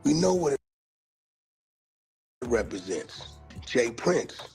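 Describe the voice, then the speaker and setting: A man's voice: medium pitch, sing-song, slow pace, gravelly, darkish tone, slightly rough, faint music behind. An American man in a slangy, emphatic monologue, calling people out in a confrontational way.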